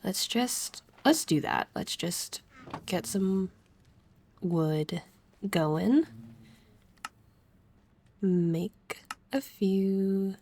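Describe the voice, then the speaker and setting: Slow, stuffy-voiced woman chatting through gameplay. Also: mid-range voice, lively intonation. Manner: chatty, laughs softly between thoughts. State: stuffy and congested from a cold. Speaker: let's play host. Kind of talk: casual gameplay commentary.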